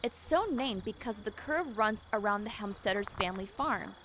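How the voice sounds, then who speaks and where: A woman's voice: mid-range voice, lively intonation, moderate pace, a bit bright, a little hiss or hum. A woman reading scripted narration as the narrator of a railroad documentary.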